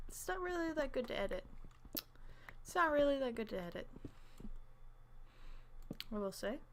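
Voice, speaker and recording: A woman's voice: mid-range voice, slight background noise. A young woman streaming a game, chatting casually and rambling, often dropping into whispered asides. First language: English. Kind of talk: casual gameplay chat.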